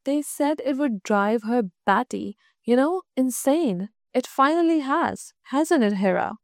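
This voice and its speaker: A woman's voice: sits high, expressive, unhurried, dry recording, clean studio sound. A woman voicing a teasing, mock-serious schoolboy in a read-aloud story. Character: teasing schoolboy older brother.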